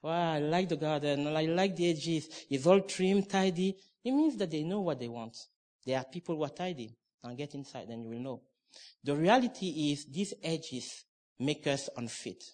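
A man's voice: on the high side, lively intonation, normal speed, darkish tone. A middle-aged man from Cameroon preaching a sermon, emphatic and conversational, with restarts and asides.

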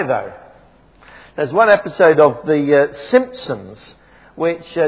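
A man gives a wry, conversational sermon, full of ums and self-corrections. His voice is a little husky, and it carries through the long reverb of a church PA system on a dull, lo-fi recording. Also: fairly high pitch. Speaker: preacher. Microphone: PA microphone.

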